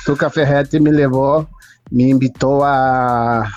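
A man's voice: medium pitch, steady tone, slow, a little mellow. A Brazilian man, a native Portuguese speaker, casually reminiscing as an interview guest and mixing two languages. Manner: mixes Portuguese and Spanish. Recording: dull lo-fi audio, music behind.

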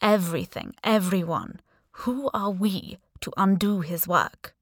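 A woman's voice: medium pitch, unhurried, no room sound, clean studio sound, natural voice. A woman voicing a captive male sultan, proud and devout, speaking with indignant, solemn defiance.

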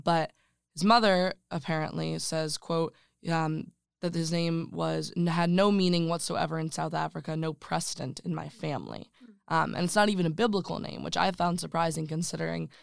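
An interviewer leading a casual book discussion, thoughtful and hesitant with many pauses. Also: medium pitch, flat delivery, vocal fry, clean tone, dry recording, studio quality. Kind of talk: casual conversation.

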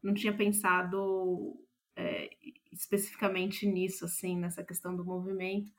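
A woman's voice: fairly low pitch, flat delivery, moderate pace. A Brazilian woman, a playwright being interviewed, thinking aloud and often searching for her words. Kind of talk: reflective interview conversation.

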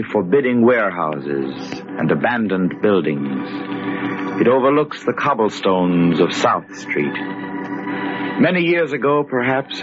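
A man narrating an old-time radio horror show in a dramatic, solemn, ominous manner. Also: on the low side. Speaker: narrator and announcer. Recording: muffled old radio, loud organ music.

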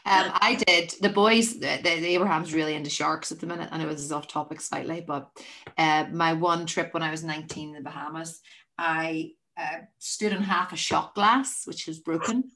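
A woman with a deep voice hosting a podcast, chatting casually and telling rambling stories that keep interrupting themselves. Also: fairly even intonation, moderate pace, sharp tone. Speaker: podcast host. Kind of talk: casual chat.

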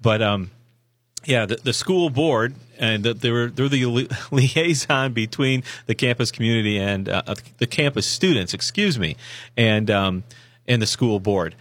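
Hoarse man on college radio. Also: medium pitch, lively intonation, measured pace, a bit bright. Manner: rambling, self-correcting, folksy advice. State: hoarse from a sinus infection. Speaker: co-host of a college radio show. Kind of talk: casual radio promo talk. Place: radio studio.

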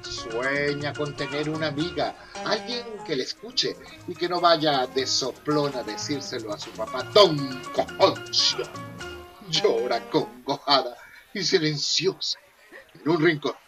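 Higher-pitched mock-dramatic male narrator. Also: lively intonation, a little slow. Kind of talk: theatrical scripted narration of a humorous radio soap. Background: music.

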